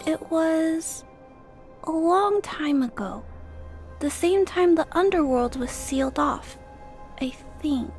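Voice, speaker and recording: A shy little game girl, high voice, slow and hesitant, earnest, music behind. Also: animated, exaggerated voice. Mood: hesitant, timid. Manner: hesitant, soft-spoken, polite. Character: shy, earnest young girl, gentle and brave. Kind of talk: video game voice acting.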